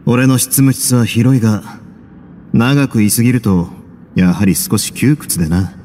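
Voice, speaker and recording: An actor playing a composed, wry young duke who runs a prison in a game, measured and nonchalant with quiet authority. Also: male voice, mid-range voice, a little hiss or hum, close to an everyday voice. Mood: casual, wry. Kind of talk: game voice acting.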